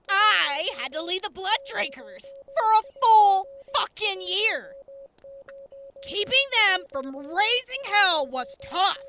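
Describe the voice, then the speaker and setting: A woman acting as a tough but kind wasteland medic in a radio play, sassy and casual. Her voice is high and animated, with clear noise in the recording. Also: exaggerated voice. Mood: sympathetic, rueful. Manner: salty, teasing, casual. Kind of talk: post-apocalyptic audio drama acting.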